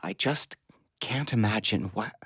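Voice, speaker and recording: A man playing a weary, pleading doctor and father in an audio play, speaking hesitantly and haltingly. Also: on the low side, animated, fairly bright tone, a little hiss or hum. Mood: grieving. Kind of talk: audio drama acting.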